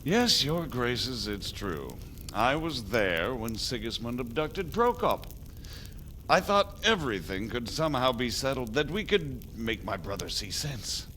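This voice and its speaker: A man playing a measured, diplomatic medieval margrave in a video game, speaking formally and deliberately. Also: mid-range voice, sing-song, sharp tone, slight background noise, poor audio. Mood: grave, explanatory. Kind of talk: dramatic video game acting.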